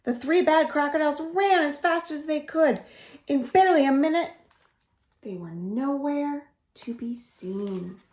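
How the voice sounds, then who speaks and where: A woman reading a children's story aloud in an expressive, deliberate way, as a storyteller for young children. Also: sits high. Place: indoors at home. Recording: roomy echo of a home room.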